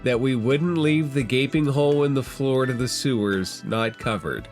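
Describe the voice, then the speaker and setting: A man running a tabletop role-playing game, recapping events to his players in a measured, teasing way. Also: medium pitch, faint music behind. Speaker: game master.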